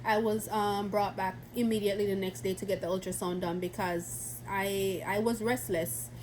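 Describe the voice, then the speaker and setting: A woman's voice: mid-range voice, fairly even intonation, moderate pace, darkish tone, slight background noise, poor audio. Stuffy-nosed young woman telling a story. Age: young adult. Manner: chatty, rambling, many restarts and fillers. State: stuffy from a cold. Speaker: vlogger. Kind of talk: casual storytelling to camera.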